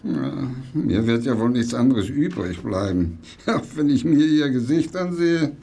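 An actor playing a reluctant, sarcastic police subordinate in a radio play, protesting in a husky voice. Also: male voice, medium pitch, lively intonation, a little slow, mellow, a little hiss or hum. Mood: sarcastic, resigned.

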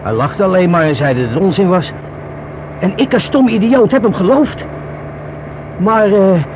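Radio-drama young informant: fairly high voice, wary, rueful, colloquial, hesitant, noisy vintage recording. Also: male voice. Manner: hesitant, colloquial, self-reproaching. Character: rueful, wary young man confiding in police.

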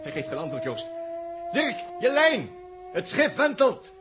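An actor playing an anxious spaceship crewman, urgent and excitable, in 1960s radio drama style. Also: very high-pitched, unhurried, music in the background, exaggerated voice. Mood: worried, urgent. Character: anxious male spaceship crewman watching over comrades. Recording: noisy old 1960s radio recording.

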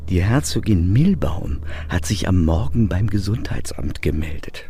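A man's voice: low voice, big pitch swings, measured pace, mellow, slight background noise, heavily acted. An actor playing a wry, mocking townsman gossip in a German radio drama. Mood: wry mockery.

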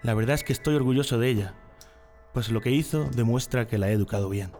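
A man's voice: medium pitch, fast, darkish tone, close to an everyday voice. A man with a Spanish accent from Spain playing a naive, loyal party man in jail, earnest and confiding, in a radio drama. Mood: proud. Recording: music behind the voice.

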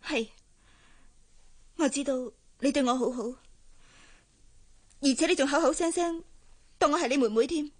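An actress playing a young housemaid in a Hong Kong Cantonese radio drama, heartbroken and proud, speaking softly with restraint. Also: female voice, sits high, moderate pace, long pauses, natural voice. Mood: hurt, restrained.

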